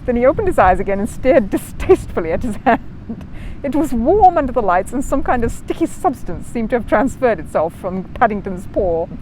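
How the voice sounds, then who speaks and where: A woman reading a children's story aloud in an expressive, animated way. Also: fairly high pitch, fairly quick, a little hiss or hum, poor audio. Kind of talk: expressive scripted narration.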